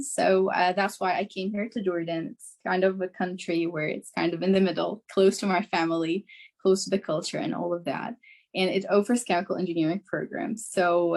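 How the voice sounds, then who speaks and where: Young female guest, native Arabic speaker from Syria, earnest and eager, mid-pitched, video call. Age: young adult. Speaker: guest speaker, chemical engineer. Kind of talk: casual conversational answer.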